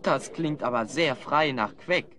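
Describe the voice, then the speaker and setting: A man's voice: mid-range voice, a little slow, sharp tone. A man playing a bold, decisive fantasy hero in an audio drama, with a commanding, declamatory manner. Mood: curious, resolute.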